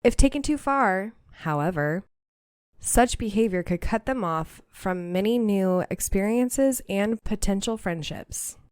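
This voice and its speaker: A woman's voice: medium pitch, lively intonation, a little slow, darkish tone, clear, resonant tone, no room sound, studio quality. A young woman hosting a podcast, chatting casually and reading aloud, slightly nasal, laughing easily. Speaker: podcast host.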